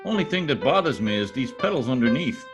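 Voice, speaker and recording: An actor playing a boastful, wisecracking husband in an old-time radio comedy, folksy and theatrical with a husky voice. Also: male voice, medium pitch, sing-song, fast talker, over music, close to an everyday voice. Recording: home video-call recording.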